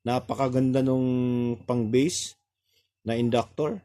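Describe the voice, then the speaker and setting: Male repair tutorial presenter, Filipino accent, mid-pitched mellow voice, casual and halting hands-on explanations. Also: steady tone. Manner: halting, explains step by step with pointing asides. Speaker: audio repair technician and reviewer.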